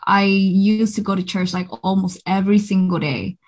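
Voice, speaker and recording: A woman's voice: mid-range voice, steady tone, normal speed. A woman in her thirties from Vietnam, with a Vietnamese accent, chatting casually as an interview guest, full of fillers and restarts. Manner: hesitant, many fillers and restarts, upbeat.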